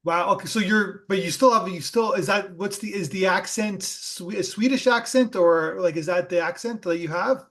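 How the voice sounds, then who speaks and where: A man with a high voice for a man hosts a casual film interview. He circles his questions with many fillers and restarts before getting to the point. His talk is loose and conversational. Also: normal speed, hardly any pauses, a bit bright. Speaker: podcast host.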